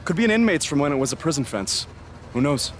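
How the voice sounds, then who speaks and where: An actor playing a loyal, teasing wrestler friend in a video game story, with a wry, joking manner. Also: male voice, fairly high pitch, big pitch swings, fast, slight background noise. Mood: wry, fond. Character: wry, teasing male wrestler, hero's loyal best buddy.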